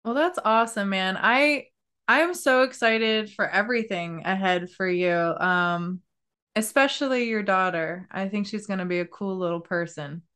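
Podcast host lady, mid-pitched voice, gushing and upbeat, laughs a lot. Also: moderate pace, fairly bright tone, clean tone. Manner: effusive, upbeat, laughs often. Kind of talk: casual interview chat.

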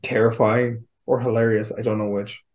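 A man's voice: fairly low pitch, flat delivery, normal speed, darkish tone. A man co-hosting a casual movie review podcast, blunt and teasing, swearing freely. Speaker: podcast co-host.

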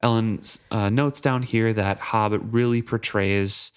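A young adult co-host of a relaxed book podcast, speaking in a middle pitch. The delivery is steady and even as listener emails are read out, with the odd "uh" along the way. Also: slow, smooth. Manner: steady, reads listener mail with fillers. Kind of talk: casual book podcast chat.

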